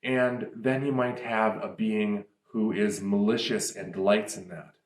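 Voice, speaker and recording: A man's voice: fairly low pitch, fairly even intonation, measured pace. A man giving casual author commentary on his fantasy world, explaining ideas with frequent ums. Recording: roomy echo.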